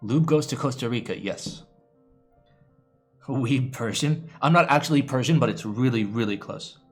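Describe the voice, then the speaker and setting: A man's voice: medium pitch, moderate pace, slightly rough, faint music behind. A man in young adulthood, casually chatting with his live-stream viewers, rambling and swearing freely with playful groans. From United States. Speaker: keyboard streamer.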